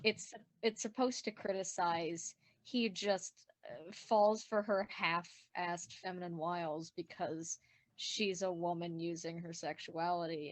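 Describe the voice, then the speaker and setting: A woman's voice: on the low side, no echo. A woman chatting casually in a group commentary, explaining things in a rambling, self-correcting way. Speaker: podcast creator and voice actor.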